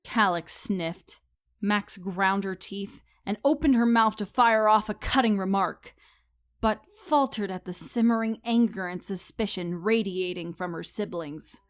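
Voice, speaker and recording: A woman giving a scripted, expressive and deliberate dramatic narration of a story. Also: medium pitch.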